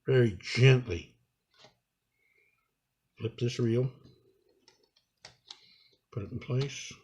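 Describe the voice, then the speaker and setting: Man with a slightly husky voice, tutorial narration. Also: mid-range voice, a little mellow. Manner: unhurried, halting, talking through each step while working. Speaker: instructor demonstrating a repair. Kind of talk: hands-on tutorial narration, improvised.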